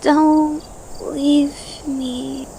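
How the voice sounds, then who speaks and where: A woman playing a sweet, tired teenage girl in a fan audio drama, with soft, wistful delivery. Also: sits high, level tone, very slow, creaky, slight background noise, exaggerated voice. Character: sweet teenage girl, sick and sleepy, fond.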